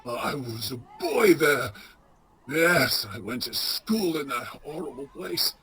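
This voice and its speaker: A woman playing an anxious, sentimental old male miser in a radio play, stammering and halting with emotion. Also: low-pitched, very animated, a bit bright, a little hiss or hum, heavily acted. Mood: nostalgic awe.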